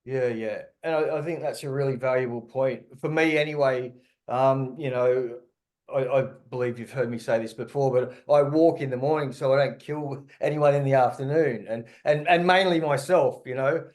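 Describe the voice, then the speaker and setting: Podcast host, middle-aged male, mid-range voice, relaxed conversational interviewing. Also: medium pitch, normal speed.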